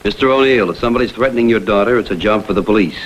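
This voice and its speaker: A man's voice: fairly low pitch, very fast, a little hiss or hum, close to an everyday voice. An actor playing a tough, matter-of-fact male investigator in a vintage TV crime drama. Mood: cool, guarded. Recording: dull vintage TV soundtrack.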